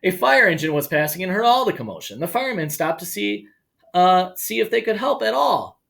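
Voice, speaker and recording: A man who works as an elementary school educator, reading a picture book aloud to children in an expressive, playful way with casual asides. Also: sits high, brisk, creaky.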